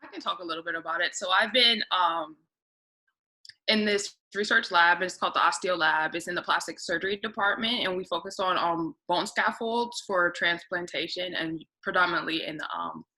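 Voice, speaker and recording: A woman's voice: lowish voice, normal speed, sharp tone, low-quality recording. A young woman answering informally as a student panelist. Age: young adult.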